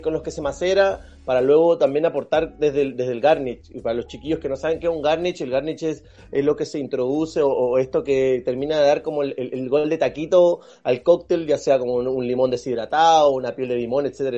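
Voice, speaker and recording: Mellow higher-voiced Chilean man, halting. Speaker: podcast co-host. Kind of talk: casual chat. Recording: dull lo-fi audio, music behind. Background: background music.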